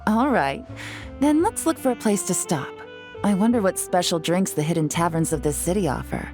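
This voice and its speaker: A woman's voice: lowish voice, lively intonation, normal speed, darkish tone, faint music behind, natural voice. An actress voicing a composed, lightly teasing woman agent in a game cutscene. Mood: relaxed. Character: poised cool woman agent on vacation.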